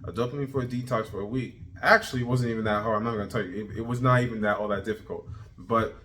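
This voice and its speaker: Man, 30, low-pitched, energetic, repetitive, casual vlog talk, faint hum behind. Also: fast. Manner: energetic, repetitive, self-motivating. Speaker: vlogger. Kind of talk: casual self-improvement video journal.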